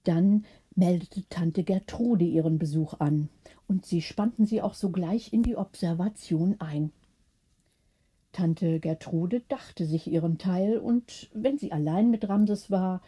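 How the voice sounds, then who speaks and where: A woman's voice: lowish voice, takes it slowly, dark tone, husky. A woman narrating a scripted bedtime story as an audiobook reader.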